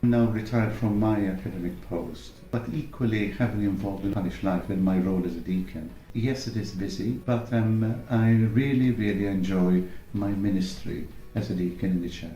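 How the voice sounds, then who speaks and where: A man in his mid sixties, a church deacon and retired academic, speaking in a measured, earnest way. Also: lowish voice, warm tone. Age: 60s. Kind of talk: reflective interview answers. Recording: roomy echo.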